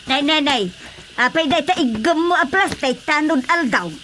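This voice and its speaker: A woman's voice: high voice, moderate pace, lightly acted. A woman with an Ilocano accent playing a flustered, evasive village woman in a radio drama comedy. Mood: startled. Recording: noisy track with animal ambience.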